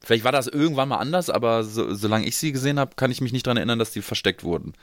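A man's voice: mid-range voice, expressive, rapid-fire, no echo, studio quality. A man talking casually as co-host of a podcast. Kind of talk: casual chat.

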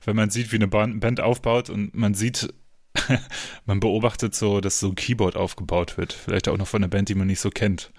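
A man's voice: lowish voice, fairly even intonation, moderate pace, no room sound. Casual male podcaster, dry close sound. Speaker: podcast co-host. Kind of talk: casual chat.

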